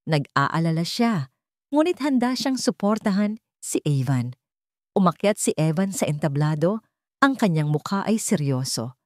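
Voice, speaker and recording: A woman's voice: lowish voice, sing-song, normal speed, a little mellow, no echo, clean studio sound. A woman reading a dramatic scripted romance story. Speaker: story narrator.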